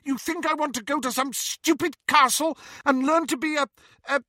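Man putting on a shrill, spiteful young girl. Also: moderate pace, pushed and bright, no room sound, clean studio sound. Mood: indignant. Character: bitter, scornful young girl, jealous older sister. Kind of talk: audiobook character reading.

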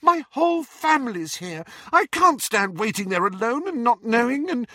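Male reader playing a defiant teen girl, high and tense. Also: big pitch swings, extremely slow, bright, forceful tone, no echo, studio quality, exaggerated voice. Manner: defiant, pleading. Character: defiant teenage girl, fiery and stubborn.

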